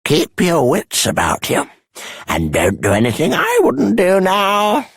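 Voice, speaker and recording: An actor playing a friendly, playful mentor sending off an adventurer in a video game, with a husky voice. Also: medium pitch, measured pace, no echo, studio quality, exaggerated voice. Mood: encouraging, playful. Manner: teasing, expressive. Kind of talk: theatrical video game voice acting.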